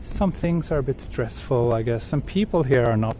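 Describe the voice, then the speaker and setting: A Swedish man hosting a live walking stream outdoors, reading viewer comments and answering them in a soft-spoken, hesitant way with many fillers. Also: mid-range voice, lively intonation, moderate pace. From Sweden. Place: outdoors on quiet city streets, walking. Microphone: handheld gimbal camera with small recorder. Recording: street and traffic noise behind.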